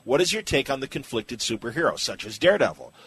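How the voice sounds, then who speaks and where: A man's voice: mid-range voice, very animated, fast talker, a bit bright, breathy edge. A man hosting a casual interview podcast, chatting and putting listener questions to his guest. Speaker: podcast host.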